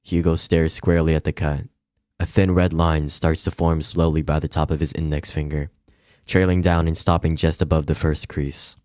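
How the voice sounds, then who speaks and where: A man reading a visual novel aloud as dramatic, measured narration. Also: very deep voice, little pitch movement, a little mellow, no room sound, studio quality.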